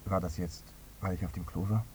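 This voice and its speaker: An actor playing a guilt-ridden airline pilot in a radio drama, fretful and subdued, brooding repetitively. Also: male voice, sits low, fairly even intonation, brisk, mellow, slightly rough. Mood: guilty, brooding. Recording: muffled, dull, faint background noise.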